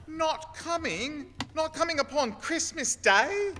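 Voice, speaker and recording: A man's voice: very high voice, expressive, takes it slowly, background noise, heavily acted. A man playing a tender, forgiving father in a radio play, fond and earnest in his delivery. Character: gentle, tender, forgiving poor family father. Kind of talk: stage-like radio play acting. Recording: echoey reverberant hall.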